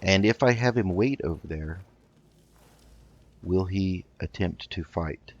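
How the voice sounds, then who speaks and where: Deep-voiced man doing casual gaming commentary. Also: slow pace, muffled recording. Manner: hesitant, thinking aloud. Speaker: gaming video host. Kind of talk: casual let's play commentary.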